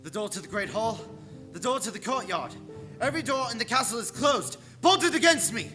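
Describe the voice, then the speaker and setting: An actor playing an anxious English gentleman held captive, narrating his diary with earnest, suspenseful theatricality in a radio play. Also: very high-pitched, lively intonation, a little fast, heavily acted. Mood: mounting dread. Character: Jonathan Harker, anxious English gentleman, dread-filled captive diarist. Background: music.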